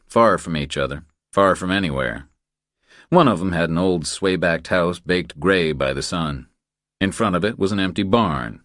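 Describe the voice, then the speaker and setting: A man's voice: low voice, a bit bright, no echo, studio quality. A man reading a thriller audiobook as scripted narration.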